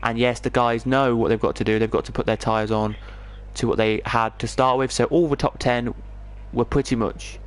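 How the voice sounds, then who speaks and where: Clear-voiced English man commentating sim racing. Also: medium pitch, fairly even intonation, normal speed, hardly any pauses. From England. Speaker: esports race commentator.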